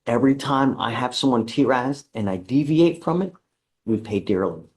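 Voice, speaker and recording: A man speaking as a judge at a courtroom hearing, measured and deliberate, stern but patient. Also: medium pitch, a little mellow.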